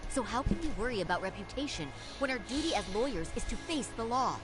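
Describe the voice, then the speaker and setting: A woman's voice: low-pitched, brisk, over music, lightly acted. Woman acting a composed, steely lawyer. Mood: indignant, resolute. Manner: formal, precise, resolute. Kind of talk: dramatic English game voice acting.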